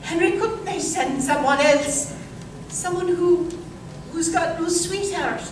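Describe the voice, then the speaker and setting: A woman's voice: extremely high pitch, slow pace, slight background noise. A woman playing a devoted young soldier's sweetheart in an old-time radio drama, earnest and imploring. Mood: pleading, loving. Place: live stage performance in a museum. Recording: very echoey hall, distant mic.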